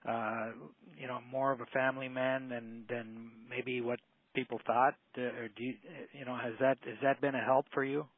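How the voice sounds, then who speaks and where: Halting male reporter on a phone line. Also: medium pitch, level tone, no echo. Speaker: newspaper reporter. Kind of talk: conversational press questioning. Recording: tinny narrow phone line.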